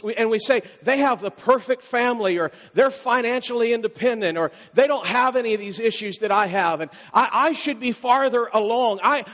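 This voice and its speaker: A man's voice: very high voice, fairly bright tone, long reverb tail. A man preaching a sermon as pastor, in a conversational style that repeats phrases and rises for emphasis.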